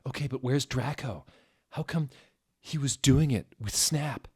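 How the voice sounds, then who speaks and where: A man's voice: mid-range voice, a little slow, husky, pitched above the performer's own voice. A man voicing an overwrought, hot-tempered teenage goth vampire girl who exclaims melodramatically in teen slang. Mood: alarmed.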